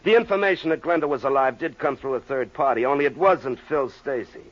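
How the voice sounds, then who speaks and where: A man's voice: mid-range voice, a little fast, highs cut off, close to an everyday voice. A man playing an exasperated, sarcastic police lieutenant in an old-time radio crime drama, mocking and skeptical. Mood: smugly mocking.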